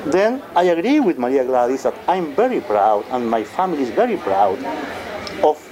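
A man's voice: medium pitch, sing-song, normal speed, a crowd in the background, poor audio. A middle-aged man from Chile, a poet speaking passionately and emphatically as a panelist at a forum. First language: Spanish. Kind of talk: forum discussion.

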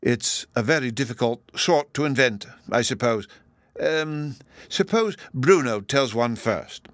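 A man's voice: medium pitch, lively intonation, a little slow, no echo, pitched above the performer's own voice, close to an everyday voice. A man voicing a mild, cheerful scholarly professor in a storybook, musing and courteous. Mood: candid, hesitant.

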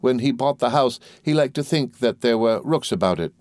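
Man voicing a timid, fragile young mother, pitched up. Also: expressive, normal speed, darkish tone, dry recording, close to an everyday voice. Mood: hesitant, polite. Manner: faltering, meek, plaintive. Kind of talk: audiobook character voice.

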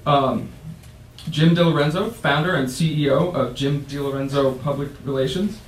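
A man moderating a university panel discussion, introducing guests haltingly with many fillers. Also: fairly high pitch. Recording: roomy, distant mic with faint noise.

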